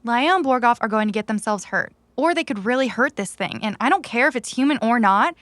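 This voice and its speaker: A woman's voice: fairly high pitch, animated, fairly quick, a bit bright, no echo. A woman playing an earnest, insistent young cyberpunk runner with a sarcastic streak in an audio drama. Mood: determined.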